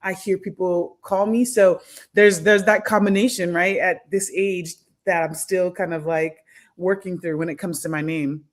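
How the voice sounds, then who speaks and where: Thoughtful woman guest, soft-spoken. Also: lowish voice, steady tone, normal speed. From United States. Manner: thoughtful, many fillers and restarts. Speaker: podcast guest, academic. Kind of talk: reflective conversational interview.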